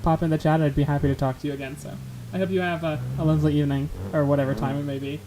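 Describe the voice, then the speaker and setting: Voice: mid-range voice, racing, poor audio. A streamer chatting casually while playing a driving game, rambling and self-deprecating, laughing often. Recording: steady game noise behind. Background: car game sounds.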